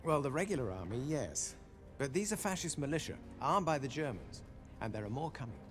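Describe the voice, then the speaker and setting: A man playing a loyal, level-headed army officer in a video game cutscene, measured and reasoning. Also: mid-range voice, very animated, faint music behind, close to an everyday voice. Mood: informative.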